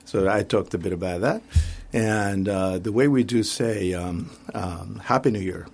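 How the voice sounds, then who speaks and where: A man's voice: on the low side, measured pace, vocal fry, a little mellow, breathy edge. A middle-aged man from the Congo, speaking reflectively and hesitantly as an interview guest. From Democratic Republic of the Congo. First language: French and Swahili. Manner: hesitant, searching for words.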